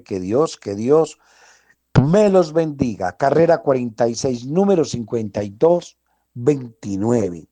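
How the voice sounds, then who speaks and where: A man hosting a radio show, rattling off a sales pitch for tarot readings and cleansings, repeating addresses and prices and blessing his listeners. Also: mellow, dry recording. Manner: insistent, repetitive, blessing the listeners. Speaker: radio host selling esoteric services.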